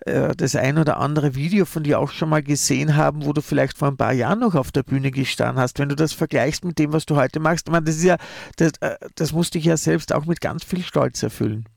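A man interviews a singer, heaping praise on her and stumbling through repeated restarts of his questions. His voice is fairly high and gravelly. The sound is dry and close. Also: brisk, no room sound. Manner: warm praise, stammering restarts. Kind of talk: casual interview talk.

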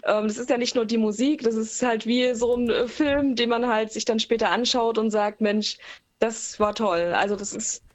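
Relaxed German woman musician chatting. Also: on the high side, normal speed. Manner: relaxed, thoughtful, many fillers. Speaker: singer-songwriter guest. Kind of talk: casual interview talk. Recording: remote call line.

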